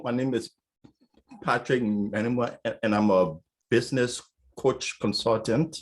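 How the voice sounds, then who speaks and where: A man sharing his story in an unhurried, deliberate way, with a lowish voice. He stutters on first sounds and repeats words, then presses on. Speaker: business coach and public speaker; attendee sharing his experience. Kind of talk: personal story at an online event.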